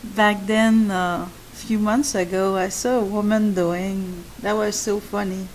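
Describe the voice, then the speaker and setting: Slow, soft-spoken accented woman. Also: mid-range voice, steady tone, a little hiss or hum, low-quality recording. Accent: non-native English. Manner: slow, halting, with many fillers and restarts. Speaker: channel host. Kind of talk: spoken monologue to subscribers.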